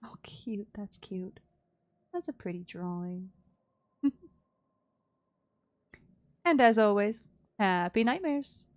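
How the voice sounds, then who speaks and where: A woman livestreaming a game, chatting casually in a playful, teasing, giggly and expressive way. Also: medium pitch, slow pace, pauses often. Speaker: livestream host. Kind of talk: casual game-stream chat.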